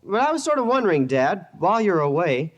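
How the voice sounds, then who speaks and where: A teenage son in an old-time radio drama. The voice is fairly high and very inflected. He speaks hesitantly and deferentially, like a neglected kid. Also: big pitch swings, brisk, highs cut off. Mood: tentative, hopeful.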